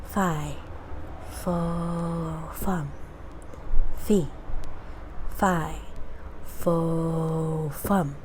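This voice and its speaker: Giant, male, huge and menacing, low rumbling chant voiced by a woman storyteller. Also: steady tone, extremely slow, long pauses, darkish tone, a little hiss or hum, low-quality recording.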